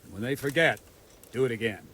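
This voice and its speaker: Blunt, hard-bitten medieval knight. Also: male voice, medium pitch, brisk, a bit bright, breathy edge. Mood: stern, scornful. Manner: blunt, stern, scornful. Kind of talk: video game dialogue acting.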